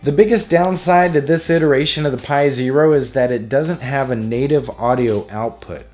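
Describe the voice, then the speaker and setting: A man's voice: mid-range voice, normal speed, a little mellow. A man narrating a scripted electronics tutorial in a playful, jokey manner. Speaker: host of an electronics DIY tutorial. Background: background music.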